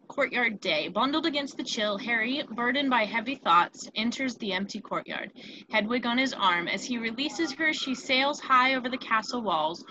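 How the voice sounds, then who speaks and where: A woman's voice: fairly high pitch, pushed and bright, lo-fi sound, slight background noise. A woman narrating a screenplay, reading out scene headings and stage directions.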